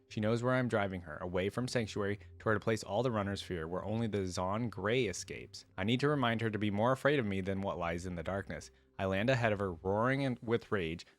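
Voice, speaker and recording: Low-voiced man reading and reacting. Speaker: reaction video host. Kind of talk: reading a story aloud with casual reactions.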